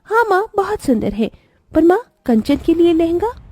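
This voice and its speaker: A woman's voice: very high-pitched, very animated, normal speed, a little mellow, no room sound. A woman voicing a sweet, loving young bride in a cartoon story, earnest and girlish, protective of her sister. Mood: sweet, hesitant. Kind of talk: Hindi cartoon story voice acting.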